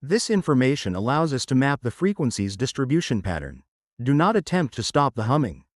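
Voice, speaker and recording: A man playing a solemn emergency broadcast announcer, reading an eerie official advisory in a formal, measured, detached way for an analog horror drama. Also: medium pitch, sing-song, no room sound, clean studio sound, close to an everyday voice. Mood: ominous, controlled. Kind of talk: scripted analog horror public advisory narration.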